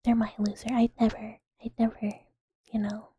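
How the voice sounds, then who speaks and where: A young woman hosting an ASMR stream, whispering close to the mic in a breathy, giggly, self-mocking way. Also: on the high side, level tone, normal speed, warm tone, no echo. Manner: giggly, self-mocking, hesitant with many ums. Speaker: livestream ASMR host.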